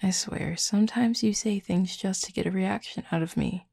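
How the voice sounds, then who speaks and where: Woman voicing a husky, flirty werewolf, deeply gravelly. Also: darkish tone. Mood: flirty teasing. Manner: dry, teasing, unhurried, wordy. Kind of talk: intimate audio roleplay, late-night chat.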